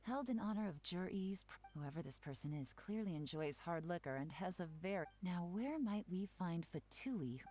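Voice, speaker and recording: A woman's voice: on the low side, lively intonation, moderate pace, few pauses, mellow. A woman playing a cool, shrewd spymaster in a video game, composed and authoritative. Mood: analytical.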